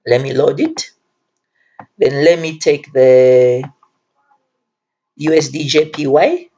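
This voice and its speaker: A man's voice: fairly high pitch, slow, dark tone. Earnest Zambian man, dull lo-fi recording. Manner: earnest, repeats phrases for emphasis. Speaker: self-taught forex trader presenting a tutorial. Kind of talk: informal video tutorial talk.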